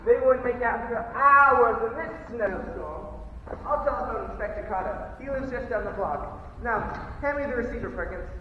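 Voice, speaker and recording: A young man playing a blustering upper-class gentleman in a melodramatic murder mystery farce, declaiming his lines. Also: very high-pitched, moderate pace. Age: teen or young adult. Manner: declamatory, exclaiming. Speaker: student actor. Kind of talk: melodramatic stage farce acting. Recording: echoey noisy hall, distant muffled mic.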